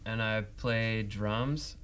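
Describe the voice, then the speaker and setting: A man's voice: fairly low pitch, steady tone, extremely slow, a bit bright, slight background noise. A man, a drummer, introducing himself in a laid-back way as a podcast guest.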